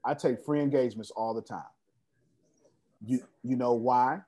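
A man's voice: mid-range voice, normal speed, dark tone. A man coaching a group on a video call, boastful and preachy, calling on people by name. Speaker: business coach hosting an online meeting. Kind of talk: animated motivational group call.

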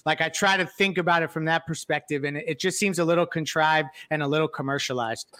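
A man's voice: fairly high pitch, fairly even intonation, fast, fairly bright tone. A man giving his opinions as a panelist in a casual sports discussion.

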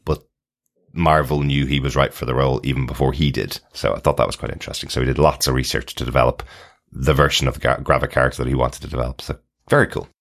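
A man's voice: very deep voice, level tone, quick pace, gravelly, fairly bright tone. A man co-hosting a podcast, talking casually about a TV series in an agreeable, enthusiastic manner. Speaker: podcast co-host. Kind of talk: casual TV recap chat.

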